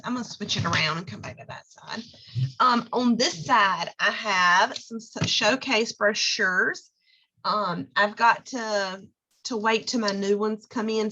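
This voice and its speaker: A hoarse woman, giving a chatty how-to talk and often checking in with "okay?". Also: mid-range voice, pushed and bright, highs cut off. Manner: chatty, lots of fillers and "okay?" check-ins. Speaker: direct sales consultant.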